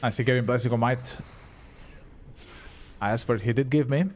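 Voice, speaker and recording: A young man streaming a game, with Croatian as his first language. He chats loosely with his viewers, answers their questions as he plays, and swears casually. His voice sits at an ordinary middle pitch for a man. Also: fairly even intonation, fairly quick, slight background noise. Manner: chatty, reads and answers chat, swears casually. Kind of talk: casual live-stream chat while gaming.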